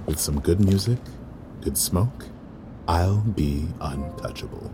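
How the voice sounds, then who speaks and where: A man's voice: low voice, animated, slow pace, noisy background. A man playing a weary, resentful waiter who narrates an audio drama in a dry, sardonic inner monologue. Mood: brooding, calming. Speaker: lead actor and narrator.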